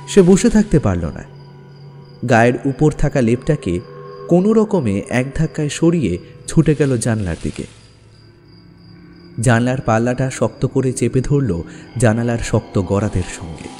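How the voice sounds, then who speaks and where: Mellow male suspense narrator in deep reverb. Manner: dramatic, suspenseful. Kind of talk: scripted suspense story narration. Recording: long reverb over background music. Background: music.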